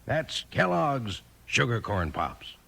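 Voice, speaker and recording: A man's voice: medium pitch, very animated, slow, creaky, fairly bright tone. A man delivering a 1950s radio commercial in folksy cowboy sales patter. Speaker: commercial announcer. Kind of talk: 1950s radio advertisement pitch.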